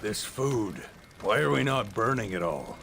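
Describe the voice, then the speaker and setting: A man's voice: on the low side, very animated, unhurried, gravelly, a bit bright, heavily acted. A man playing a blunt, ruthless soldier in a game cutscene, terse, with noisy ambience around him. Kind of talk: dramatic game cutscene acting.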